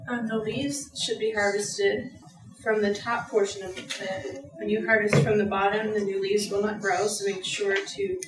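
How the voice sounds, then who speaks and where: A woman's voice: medium pitch, fairly even intonation, normal speed. A young woman stands before a lecture room, reading her presentation in an earnest, careful way with the odd "um". The sound is roomy and picked up from the back of the room. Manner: earnest, reads from notes with fillers. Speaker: student presenter. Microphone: far camera. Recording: echoey room from far off.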